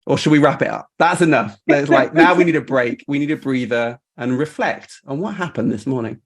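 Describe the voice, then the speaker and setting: A man's voice: mid-range voice, fairly quick. A man hosting a casual live-stream chat in a chatty, appreciative way. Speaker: host of a marketing show.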